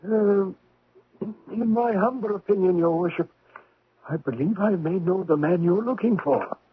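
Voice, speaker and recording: Deferential steward, very hoarse high voice, hesitant, servile, 1970s radio drama, phone-like sound. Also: male voice, normal speed. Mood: insinuating. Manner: obsequious, hesitant. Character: deferential, shifty male household steward. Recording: tinny vintage radio broadcast.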